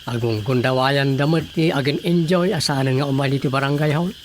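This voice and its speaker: An actor playing a concerned, grumbling village councilor in a radio drama comedy, with a Filipino accent. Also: male voice, mid-range voice, a little fast, natural voice. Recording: faint background noise.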